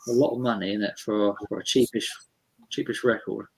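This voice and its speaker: A man with a British accent in a relaxed, informal conversation about collecting records. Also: fairly low pitch, a little fast, creaky, breathy edge, rough recording. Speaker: record collector on a chat show. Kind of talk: casual chat about vinyl records.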